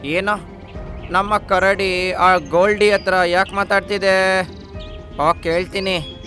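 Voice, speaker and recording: Casting: a suspicious little cartoon parrot, sharp tone, drawn-out delivery. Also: male voice, high voice, level tone, faint music behind, exaggerated voice. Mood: suspicious, curious. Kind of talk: cartoon story voice acting.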